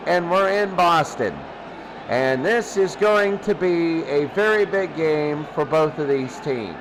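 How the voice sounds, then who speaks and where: A man calling an American football game as a radio play-by-play announcer. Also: sits high. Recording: clearly noisy background throughout.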